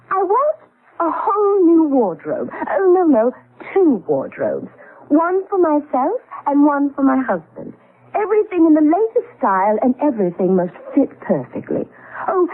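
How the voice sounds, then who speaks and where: An actress with an American accent playing an excitable, wide-eyed secretary wife in a 1970s radio drama. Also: female voice, sits high, phone-quality sound. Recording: band-limited old radio broadcast.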